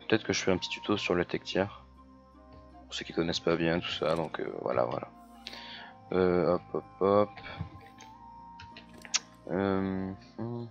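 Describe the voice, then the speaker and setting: A hoarse man chatting casually as he plays a video game, full of fillers and restarts. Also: sits low, highs cut off. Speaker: gaming YouTuber. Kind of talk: casual let's-play commentary.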